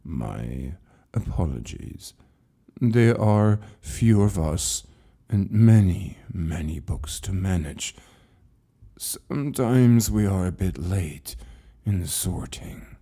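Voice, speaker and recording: A man voicing a benevolent, unhurried old keeper of a mysterious library in a story reading, speaking in a hushed, measured, gentle way. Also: low voice, expressive, vocal fry, slightly rough, exaggerated voice. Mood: gently apologetic. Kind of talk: dramatized short story reading.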